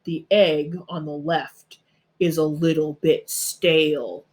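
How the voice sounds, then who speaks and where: Woman putting on a slow, growly, boastful bear. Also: low-pitched, steady tone, darkish tone, pitched below the performer's own voice. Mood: proud, drawn-out. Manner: slow, emphatic bragging. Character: big, boastful, growling male bear, proud of his nose.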